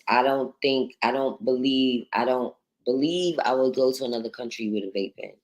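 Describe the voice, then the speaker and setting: A woman from New York with a naturally deep voice hosts a casual livestream. She talks bluntly and swears freely, reading viewer comments aloud and answering them in an easy conversational flow. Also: poor audio. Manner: blunt, profane and outspoken. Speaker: livestream host.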